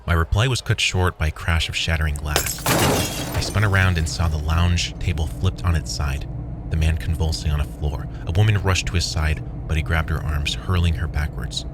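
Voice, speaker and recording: A man's voice: a bass voice, steady tone, moderate pace, very noisy. A man playing a weary, wry programmer who narrates his own story as a scripted first-person audio drama. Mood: wry, reflective.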